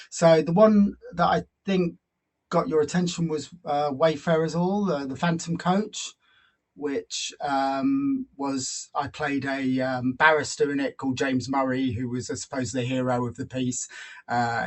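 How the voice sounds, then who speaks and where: Man chatting as an interview guest. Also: mid-range voice. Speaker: guest, voice actor. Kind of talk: casual interview.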